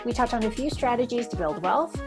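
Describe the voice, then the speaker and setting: A woman speaks as a podcast host in a somewhat high voice. Music plays clearly behind her. Her delivery suits introductions and interview questions. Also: animated, a little fast.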